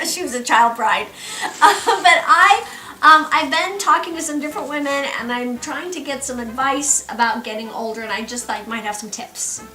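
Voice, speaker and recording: A middle-aged woman comedian chatting casually as an interviewer, halting and coaxing, recorded from a distance in a roomy space. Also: sits high, sharp tone. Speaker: comedian presenting a one-woman show and interviewing.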